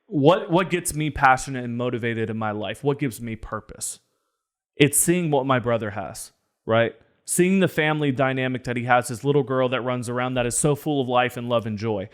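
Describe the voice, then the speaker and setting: A man's voice: medium pitch, normal speed. A young man co-hosting a podcast, talking in an earnest, preachy, motivational way. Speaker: podcast co-host. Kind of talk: casual podcast discussion.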